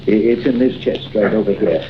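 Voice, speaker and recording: An actor playing a kindly, stammering old shopkeeper doctor in a 1940s radio drama, on a muffled old recording with faint hiss. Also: male voice, a little fast, natural voice. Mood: fond. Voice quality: stammering, chuckling, lively pitch.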